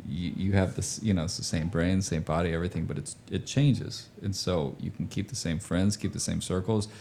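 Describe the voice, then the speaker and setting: A man's voice: deep voice, fairly even intonation, a little fast, creaky, a little mellow, slight background noise. A man in his forties from Indonesia, chatting casually about music in an informal, slangy, storytelling way. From grew up overseas in Indonesia. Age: 40s.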